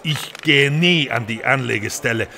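An actor playing a busy, impatient Italian innkeeper in an audio drama, speaking curtly. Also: male voice, medium pitch, normal speed, creaky, pushed and bright. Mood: irritated. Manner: curt, impatient, peppered with Italian words. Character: brusque, busy Italian innkeeper running a trattoria.

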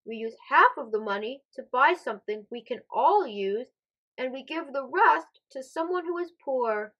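A woman's voice: high voice, animated, normal speed, muffled recording. A woman voicing a helpful, generous young boy in a storybook, explaining things earnestly.

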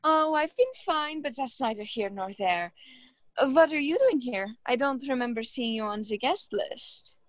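A woman's voice: fairly high pitch, animated, normal speed, dry recording, close to an everyday voice. A woman acting a snide, dismissive wealthy hostess in a mystery radio play. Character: haughty, sharp-tongued wealthy young hostess.

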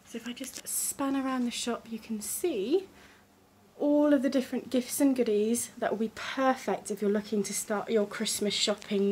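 A woman's voice: on the high side, a little mellow, a little hiss or hum. An enthusiastic English woman giving a walking tour of a small gift shop, her voice slightly muffled by a face mask, filmed on a handheld phone. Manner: enthusiastic, gushing praise. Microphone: phone camera held while walking.

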